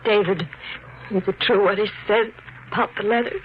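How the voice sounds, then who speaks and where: An actress playing a frightened but defiant wife in a 1950s radio thriller, breathless, halting and pleading. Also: female voice, mid-range voice, steady tone, slight background noise, close to an everyday voice. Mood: shaken, pleading. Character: terrified but defiant young wife under threat. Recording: muffled old radio broadcast, faint music.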